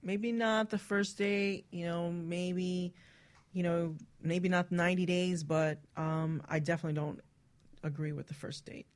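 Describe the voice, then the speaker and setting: A woman's voice: fairly low pitch, steady tone, unhurried. A woman hosting a casual radio panel chat, talking bluntly and swearing freely. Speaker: radio show host.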